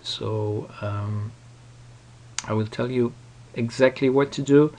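A man presenting a casual, unscripted software tutorial in a hesitant, rambling manner. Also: medium pitch, fairly even intonation, slow. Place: voice-over recorded at a computer.

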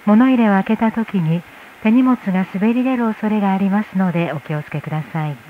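A woman's voice: mid-range voice, a little fast. A woman working as a flight attendant, making a formal inflight announcement over the cabin PA. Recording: tinny cabin PA with noise. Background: cabin noise.

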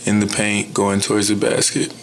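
Flat-voiced young man at postgame presser. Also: on the low side, a little hiss or hum. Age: young adult. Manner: measured, even, blunt, frequent "you know". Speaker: college basketball player. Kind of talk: postgame press conference answers. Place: indoor press room. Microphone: table mic in front.

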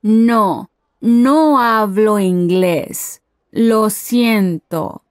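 A woman acts out a friendly female stranger chatting with a tourist in a language course. She speaks at a middling pitch, very slowly and clearly enunciated, in a dry, close recording. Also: no room sound. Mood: friendly, curious. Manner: deliberate, carefully enunciated. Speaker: voice actor in language lesson dialogues. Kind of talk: scripted learner dialogue, each phrase said slowly then at normal speed.

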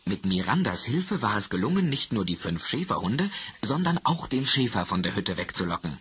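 A man narrating a children's radio play in a calm storytelling manner. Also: on the low side, normal speed, slightly rough.

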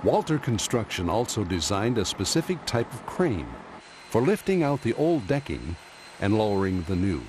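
A man reading scripted documentary narration in a measured, explanatory way, recorded as a studio voice-over. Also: on the low side, big pitch swings, a little mellow, slight background noise.

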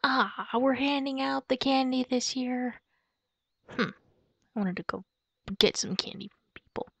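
A young woman playing a playful, excitable girlfriend in a roleplay audio, speaking softly and hesitantly as if musing aloud to her partner. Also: high voice, a little slow, gravelly, husky, dry recording, heavily acted. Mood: eager, playful. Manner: giggly, cutesy, soft and hesitant, musing aloud. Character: playful, excitable werewolf girlfriend shopping for Halloween costumes. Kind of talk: girlfriend roleplay ASMR, improvised-sounding monologue to a partner. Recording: dull lo-fi close dry recording.

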